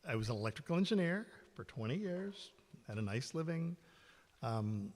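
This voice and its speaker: Man in his 60s as guest speaker, loud and friendly, mid-pitched voice in a reverberant church hall. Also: sing-song, normal speed. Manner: loud, friendly, self-deprecating. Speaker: ministry founder and guest speaker. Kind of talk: casual talk to a church group. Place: church meeting room.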